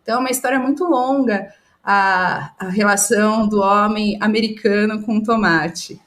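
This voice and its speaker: A woman's voice: medium pitch, moderate pace, fairly bright tone. A woman researcher and cook explaining the history of a food in a storytelling way. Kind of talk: conversational explanation.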